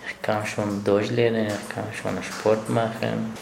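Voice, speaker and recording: A young man from Afghanistan with an Afghan accent, answering interview questions in halting, broken German. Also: on the low side, level tone. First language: language of Afghanistan. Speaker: interviewee, asylum seeker and runner. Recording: echoey room with clear background noise.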